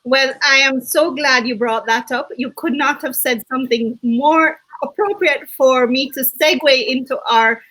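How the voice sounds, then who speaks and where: A woman co-hosting an online summit on a video call, bubbly and quick to laugh, often correcting herself mid-sentence. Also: high voice, sharp tone, rough recording. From Jamaica. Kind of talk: enthusiastic webinar hosting.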